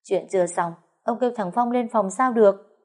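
Woman reading a shrill, scolding mother-in-law. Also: animated, moderate pace, a little mellow.

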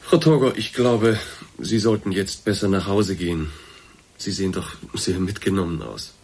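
Cautious concerned office boss, low and very husky, formal radio drama talk. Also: male voice, lively intonation, a little mellow, exaggerated voice. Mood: concerned, discreet. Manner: formal, measured, insistent. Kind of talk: naturalistic German radio drama.

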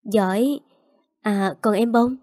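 Woman voicing gentle young mother, polite, warm low-key tone, close dry recording. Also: takes it slowly, no room sound.

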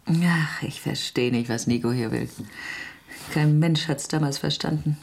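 A woman's voice: low-pitched, a little slow, creaky, darkish tone, heavily acted. An actress in a radio drama playing a spirited, sceptical woman tourist who talks in a candid, impulsive, colloquial way. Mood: puzzled, resolute.

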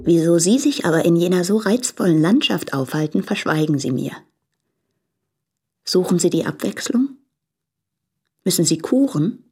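An actress playing a proud, candid, impoverished young translator in a German radio play, speaking deliberately in a breathy voice. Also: female voice, lowish voice, lively intonation, warm tone. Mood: weary, probing. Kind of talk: German radio play, reading letters aloud.

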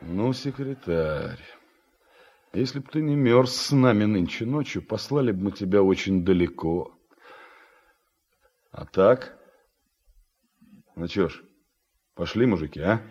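Slow hearty Ukrainian farm worker. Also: male voice, fairly low pitch, expressive, darkish tone, slightly rough, muffled recording. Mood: grudging, resigned. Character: hearty plain-spoken Ukrainian man, combine operator. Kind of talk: Soviet radio drama acting.

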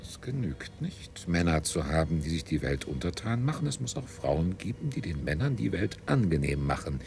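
A man's voice: deep voice, big pitch swings, normal speed, creaky, darkish tone, slight background noise, full character voice. A man playing a smug, gleefully sinister ruler-scientist in a German science fiction radio play, declaiming pompously. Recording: radio drama with music underneath.

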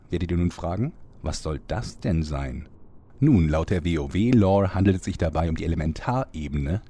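A man's voice: sits low, warm tone, slightly rough. A man playing the ceremonious narrator of a comic audio drama, addressing listeners in scripted storytelling. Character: deep-voiced, ceremonious storyteller greeting fans.

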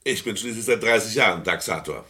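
An actor playing a seasoned, discreet art appraiser in a German crime radio play, deliberate, polite and soothing. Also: male voice, expressive, bright, forceful tone, full character voice. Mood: courteous, tactful. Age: middle-aged or older. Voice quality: very deep, husky.

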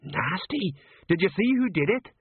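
A man's voice: high-pitched, animated, moderate pace, husky, dry recording, pitched above the performer's own voice, exaggerated voice. Dim, kindly sergeant, raised wondering voice, by a man; tinny and dry. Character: slow-witted middle-aged male sergeant, kindly. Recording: tinny narrow-band, dry.

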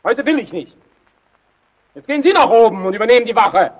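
A dull, narrow old radio recording carries a nervous man on watch duty. His high voice swings widely as he halts and repeats himself, cowed yet rebellious, in old-fashioned radio drama style. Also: animated. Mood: defiant, agitated. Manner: agitated, halting, repeating himself. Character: nervous, rebellious lighthouse keeper long cowed by his domineering colleague.

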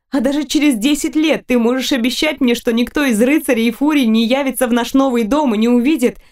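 A woman's voice: a little high, dark tone, lightly acted. A woman voicing an earnest young fairy woman in an audiobook, sorrowful yet resolute, with an emotional, pleading manner. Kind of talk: audiobook character voice.

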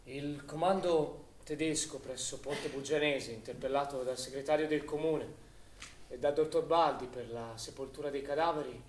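A man's voice: medium pitch, moderate pace, slight background noise, natural voice. Male actor as distant, echoing stage narrator. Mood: grave, suspenseful. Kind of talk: theatrical scripted narration.

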